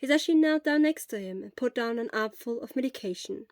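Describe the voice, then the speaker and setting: A woman's voice: a little high, animated, fairly quick, a little mellow, dry recording, studio quality. A woman reading scripted story narration as the narrator of a fan fiction audiobook. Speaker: podfic narrator.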